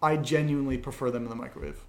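A man's voice: mid-range voice, steady tone, rapid-fire, darkish tone. A man co-hosting a casual podcast Q&A, joking around and steering the chat back to the questions. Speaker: podcast host reading patron questions. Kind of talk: casual Q&A banter.

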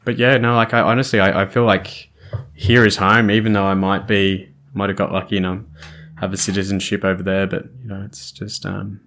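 Deep-voiced Australian man, rambling chat. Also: level tone, brisk, a bit bright. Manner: rambling, restarts often and searches for words. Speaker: podcast host. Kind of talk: casual chat.

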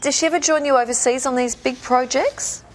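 A woman's voice: sits high, fairly even intonation, brisk, a little hiss or hum. Upbeat woman morning host. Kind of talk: breakfast TV interview.

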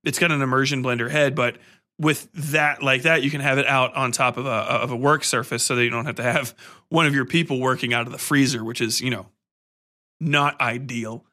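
A man hosting a podcast, giving casual, rambling film commentary in an excitable, emphatic, sweary way. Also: medium pitch, brisk. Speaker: podcast host.

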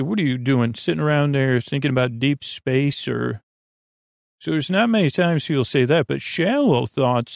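Man, sleep podcast host, creaky vocal fry, halting rambling delivery, stammered false starts, dry close sound. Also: mid-range voice, no room sound. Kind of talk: rambling bedtime monologue.

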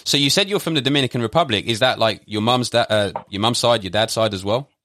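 A man hosting a podcast interview, upbeat and lively as he asks questions. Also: mid-range voice, fast, no echo, studio quality. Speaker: podcast host.

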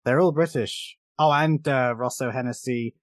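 A man's voice: mid-range voice, moderate pace, no echo, studio quality. A man co-hosting a casual film discussion, explaining his opinions at length in an informal way. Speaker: podcast co-host.

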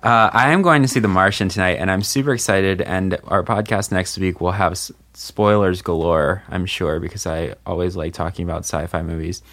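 A man's voice: sits low, fairly even intonation, moderate pace, hardly any pauses, fairly bright tone. A man hosting a podcast in casual chat, steering the talk and teasing his co-hosts. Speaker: podcast host.

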